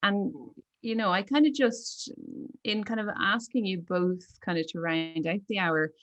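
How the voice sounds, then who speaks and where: A woman's voice: medium pitch, steady tone, a little slow, fairly bright tone. A woman hosting a conversational interview, putting her questions in a tentative, roundabout way. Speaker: interviewer and host.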